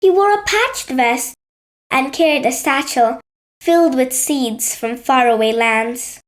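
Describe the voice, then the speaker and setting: A woman's voice: high-pitched, expressive, measured pace. A woman narrating a scripted bedtime story for children as a storyteller.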